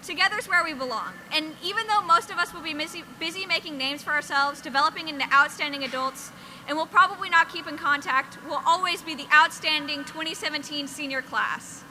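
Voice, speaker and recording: Young woman student speaker, upbeat scripted delivery, very high voice. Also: a little fast, pushed and bright, a little hiss or hum. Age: young adult. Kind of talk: scripted speech.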